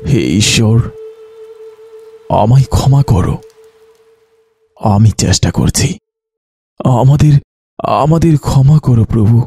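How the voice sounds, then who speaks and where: A man voicing a weary, despairing village doctor in an animated horror story, speaking in a heavy, self-questioning way. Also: on the low side, takes it slowly, pauses often, breathy edge, soft background music, heavily acted.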